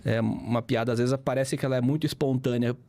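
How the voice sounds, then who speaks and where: Brazilian male teacher, fifty, conversational and didactic, mid-pitched, dry recording. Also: fairly even intonation, fast, darkish tone, no room sound. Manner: didactic, explains with examples and repetition. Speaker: guest, public speaking teacher. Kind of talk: conversational interview, explaining.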